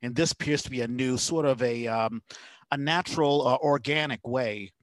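A man hosts a relaxed, conversational interview in a slightly lower-pitched voice than many speakers or an average one. He explains things in a hesitant, rambling way. The sound is close and dry, with little room in it. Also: moderate pace, dry recording. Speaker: podcast host.

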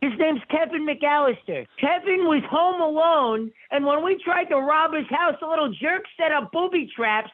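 Crook on the phone acted by a man, high-pitched, slyly courteous, scheming, narrow phone sound. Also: lively intonation, moderate pace, few pauses. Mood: scheming, falsely polite. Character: scheming male burglar, falsely polite. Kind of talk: scripted prank phone call. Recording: tinny phone line.

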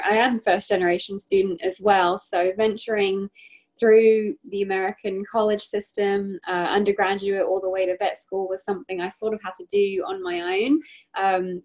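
A woman's voice: mid-range voice, a little mellow. A young woman giving a casual personal account as a student guest on a panel. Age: young adult. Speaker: veterinary student, podcast guest.

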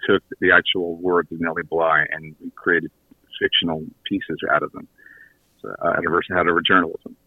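Deep-voiced man on an echoey phone line. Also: flat delivery, moderate pace. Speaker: guest, audio theater creator and writer. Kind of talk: casual radio interview chat.

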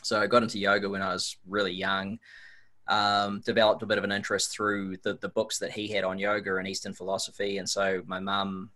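Man, New Zealand accent, podcast guest, low pitch, easygoing, reflective. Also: completely flat delivery, brisk, bright, forceful tone. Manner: easygoing, reflective, some repeated words. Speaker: guest, nutrition researcher. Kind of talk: casual interview chat.

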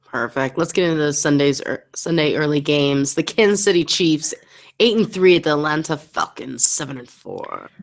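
A woman with a low voice co-hosts a sports podcast. She keeps things brisk and teases her co-hosts. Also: creaky. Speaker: podcast co-host. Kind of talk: casual podcast chat.

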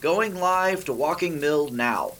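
A man's voice: a little high, lively intonation, takes it slowly, background noise. A man playing a formal, drily bemused announcer in a 1930s-style radio spoof.

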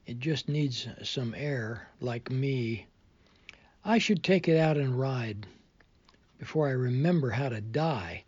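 Husky breathy guy reading his poems real slow, muffled lofi sound, no room echo. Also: mid-range voice, a little mellow. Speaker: poet reading own work. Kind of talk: poetry recital.

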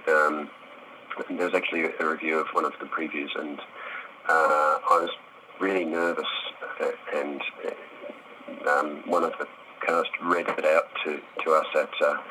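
Middle-aged man, deep bright voice, casual interview answers, self-deprecating, hesitant. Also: little pitch movement, measured pace, slight background noise, poor audio. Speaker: actor, interview guest.

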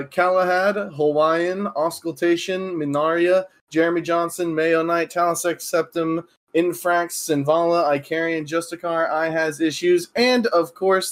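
Joking, laughing American man. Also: a little high. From United States. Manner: joking, laughs often, self-mocking. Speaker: podcast host. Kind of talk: casual podcast chat.

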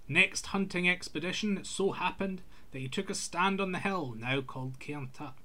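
A man's voice: on the high side, sharp tone. A man reading a scripted folk tale aloud in an old-fashioned literary storytelling manner.

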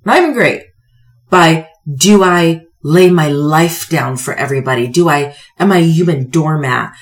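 Female motivational podcast host, forties, lower register, slightly hoarse, emphatic conversational delivery with deliberate pauses. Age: 40s. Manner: emphatic, pointed pauses, rhetorical questions. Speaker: podcast host, self-help coach. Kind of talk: motivational talk to listeners, conversational.

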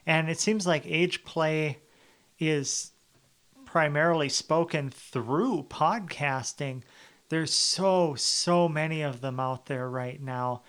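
A man's voice: on the high side, slow pace, a bit bright, clean tone. A man hosting a casual podcast chat, joking and self-deprecating. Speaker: podcast host.

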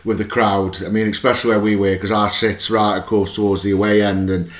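Hoarse-voiced man hosting a fan podcast. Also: on the low side, level tone, fairly quick, reverberant. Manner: chatty and eager, with restarts. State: hoarse, losing his voice. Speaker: podcast host. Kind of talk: casual fan podcast chat.

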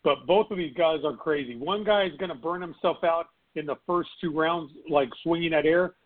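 A man giving fight picks in casual chat, rambling and excitable with stammered restarts, his voice going hoarse. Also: on the high side, moderate pace. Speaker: regular panelist. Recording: tinny phone-line audio.

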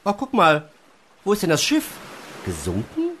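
A male actor playing an eager, excitable, conspiratorial heavenly assistant in a radio comedy. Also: high-pitched, moderate pace, a little hiss or hum, full character voice. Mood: scheming.

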